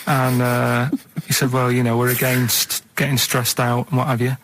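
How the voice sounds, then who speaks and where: A man's voice: medium pitch, completely flat delivery, normal speed, fairly bright tone. A man co-hosting a radio show, telling rambling anecdotes in a blunt, colloquial, casual way.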